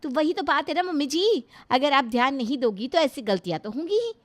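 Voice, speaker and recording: A woman voicing a rude, sharp-tongued young daughter-in-law who scolds her mother-in-law. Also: fairly high pitch, fairly quick, no room sound, lightly acted. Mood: scolding. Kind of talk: Hindi family drama acting.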